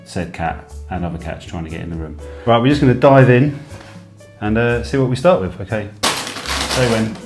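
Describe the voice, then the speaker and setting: Low-voiced man, chatty snack taster. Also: animated, moderate pace, a little mellow. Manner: chatty, hesitant, with lots of ums. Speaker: co-host. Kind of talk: casual snack-tasting chat. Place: home room. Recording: echoey room with background music.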